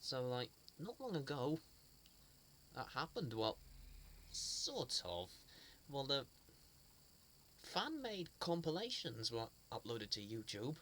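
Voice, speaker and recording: Halting, earnest man with many restarts. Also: mid-range voice, huge pitch swings, a bit bright, a little hiss or hum. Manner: halting, with frequent restarts and repeated words; earnest and fond. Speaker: fan sharing memories. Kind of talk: casual spoken reminiscence.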